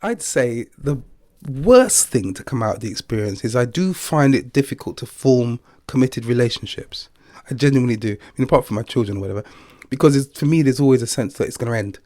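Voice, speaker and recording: A man's voice: mid-range voice, lively intonation, a little fast, a little mellow, dry recording, clean studio sound. An older man giving a thoughtful, philosophical interview, chuckling now and then as he reflects.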